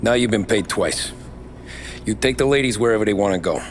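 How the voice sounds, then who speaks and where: An actor playing a loyal, earnest working-class American mobster of the 1930s in a video game, plain, tough and understated. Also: male voice, on the low side, a little fast, slight background noise, close to an everyday voice. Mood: brisk. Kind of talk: cinematic video game acting.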